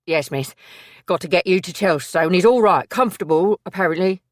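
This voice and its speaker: A woman's voice: lowish voice, fairly quick, no echo, studio quality, lightly acted. A woman voicing an anxious, loyal young man, talking urgently and bluntly.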